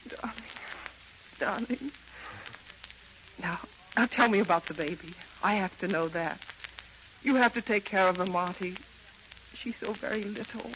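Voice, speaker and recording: A woman playing a convicted, loving and grief-stricken young mother in an earnest, halting 1930s radio drama style. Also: medium pitch, highs cut off, natural voice. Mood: tearful farewell. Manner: earnest, melodramatic, halting with emotion. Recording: bright, noisy, band-limited 1930s radio recording.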